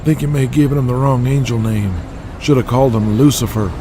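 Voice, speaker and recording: Male actor as grumbling wrestling insider, sardonic, mid-pitched, audio drama, car and street noise. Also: fast, natural voice. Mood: sardonic, irritated.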